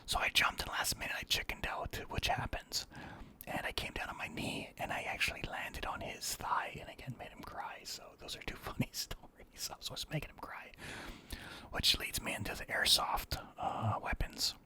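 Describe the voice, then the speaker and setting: A man telling rambling personal anecdotes in a soft ASMR whisper. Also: normal speed. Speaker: storyteller.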